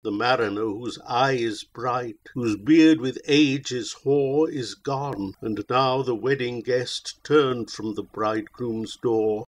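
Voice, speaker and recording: A man's voice: mid-range voice, slow, hardly any pauses. A man reading narrative verse aloud as a dramatic recitation. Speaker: poetry reader.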